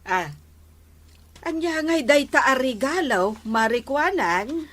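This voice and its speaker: A woman's voice: high voice, very animated, slow pace, a bit bright, full character voice. A woman playing an easygoing village captain's wife in a radio comedy drama, hesitant and agreeable.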